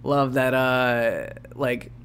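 Young male co-host, relaxed conversational delivery, lots of casual fillers. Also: mid-range voice, drawn-out words, clear, resonant tone. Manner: relaxed, uses "dude" and "like" a lot. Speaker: podcast co-host. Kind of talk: casual advice chat.

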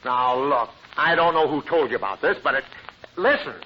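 A man's voice: mid-range voice, moderate pace, close to an everyday voice. A man playing a sheepish, flustered middle-aged husband and father with hesitant delivery in an old radio comedy. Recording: dull, noisy old radio recording.

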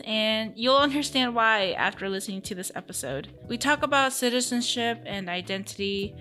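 Bright-voiced upbeat woman podcast host. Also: a little high. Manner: friendly, giggly, upbeat.

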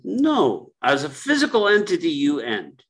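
A man speaking as a guest on a reflective podcast, slow and ruminative, with fillers and repeated phrases. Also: a little high, huge pitch swings, fairly bright tone.

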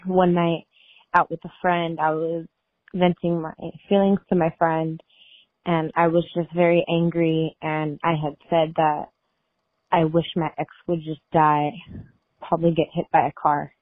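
Low-voiced woman on a tinny phone line. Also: level tone, a little slow, no echo. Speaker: anonymous caller. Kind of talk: personal voicemail confession.

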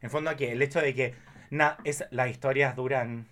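A man's voice: medium pitch, fairly even intonation, normal speed, fairly bright tone. A man in his thirties with a Chilean accent, chatting casually as a podcast guest in an effusive, rambling way. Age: 30s. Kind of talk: casual chat.

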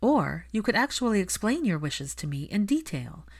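A woman voicing an earnest young female healer in a story, calm but persistent, reasoning in an insistent way. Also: mid-range voice, normal speed, voice pushed up. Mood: firm.

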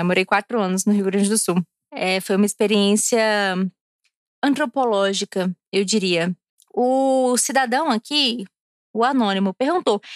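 Uma mulher de Brasília falando de forma casual e cheia de rodeios num vlog, um pouco alegre depois de beber. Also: female voice, fairly high pitch, dry recording, clean studio sound. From Brasília, Brazil. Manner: chatty, digressive, with frequent fillers and restarts. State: a little tipsy from drinking. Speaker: vlogger.

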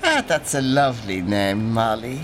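An actor playing a gentle, chatty old Irishman who rambles and muses in an audio drama. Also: medium pitch, animated, normal speed, slight background noise, close to an everyday voice. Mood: gently sympathetic. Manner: rambling, musing, tag questions. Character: gentle, chatty, ghostly old fellow narrating and watching passengers.

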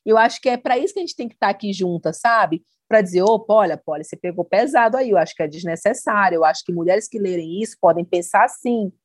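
A woman in casual conversation, talking earnestly and thoughtfully at a middling pitch. She often slips into quoting other people. Her voice sounds dry and close, with no room echo. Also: expressive, fast, hardly any pauses. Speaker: content creator.

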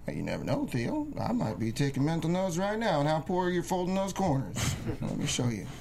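A man's voice: a little high, wildly swooping pitch, brisk, exaggerated voice. A man playing a teasing, affectionate father in an 1890s period drama table read. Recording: noisy room, clear background noise.

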